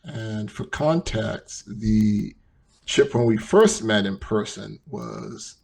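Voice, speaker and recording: A man's voice: fairly low pitch, animated, takes it slowly, vocal fry, darkish tone, slight background noise. A middle-aged man co-hosting a podcast in a casual, conversational chat. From United States and Nigeria. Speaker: podcast co-host.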